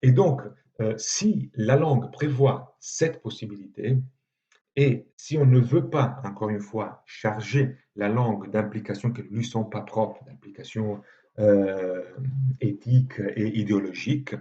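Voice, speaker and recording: A man with an Italian accent, a native Italian speaker giving a measured university lecture with frequent hesitations. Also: lowish voice, warm tone, dull audio. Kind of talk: recorded lecture.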